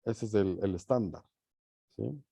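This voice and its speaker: A man teaching a class in an informal lecture style, pausing to think and often checking understanding with short tag questions. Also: on the low side, creaky, dark tone, husky, no echo. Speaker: university teacher leading an online or live class.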